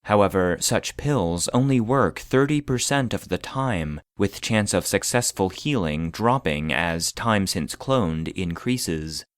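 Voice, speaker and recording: A man playing an impassive database voice, reading classified files in a formal, measured, clinical manner for a scripted audio drama. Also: low voice, animated, clean tone, no room sound, studio quality. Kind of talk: scripted narration of clinical reports.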